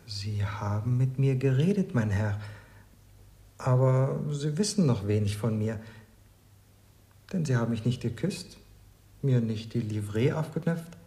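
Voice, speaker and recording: A man's voice: mid-range voice, a little slow, long pauses, warm tone, close to an everyday voice. An actor playing a young male servant in a German radio drama, enigmatic and obedient, speaking formally and cryptically. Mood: cryptic, teasing.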